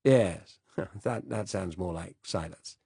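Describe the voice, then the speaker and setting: A man's voice: low voice, slow pace, gravelly, mellow, breathy edge, no room sound, clean studio sound, exaggerated voice. A man voicing an elderly, absent-minded upper-class British official who stammers and apologizes. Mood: dithering.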